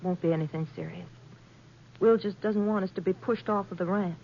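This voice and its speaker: A woman's voice: medium pitch, a little fast, lightly acted. Anxious young frontier wife, acted by a woman. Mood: subdued, earnest. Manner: faltering, earnest, emotional. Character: anxious young rancher's wife, earnest, pleading, devoted. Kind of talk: 1950s radio western acting. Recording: dull, noisy 1950s radio recording.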